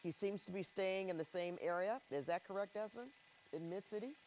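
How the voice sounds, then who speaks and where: Higher-pitched male anchor on breaking news. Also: fairly quick, darkish tone, slight background noise. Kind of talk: live breaking-news narration.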